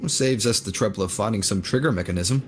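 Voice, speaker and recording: A man's voice: on the low side, quick pace, background noise, natural voice. A man playing a cool, self-assured young wanderer hero in a video game, speaking tersely and dryly. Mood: confident. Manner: terse, dry, unruffled.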